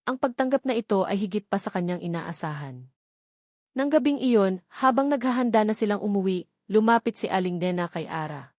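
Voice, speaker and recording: A Filipino woman narrates a scripted romance story. Her voice sits at an average pitch for a woman. The recording is dry, with no room around the voice. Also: lively intonation, brisk, a little mellow, dry recording.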